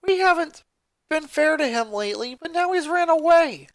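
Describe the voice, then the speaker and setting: A woman's voice: high-pitched, expressive, moderate pace, no echo, pitched above the performer's own voice. A woman voicing an anxious, apologetic teenage girl in a story reading. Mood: urgent, apologetic. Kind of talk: reading a text message in character.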